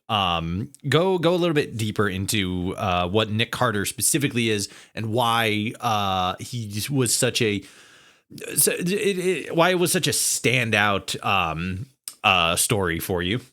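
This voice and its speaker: A man hosting a casual podcast interview, speaking haltingly as he searches for his words. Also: on the low side, animated, sharp tone.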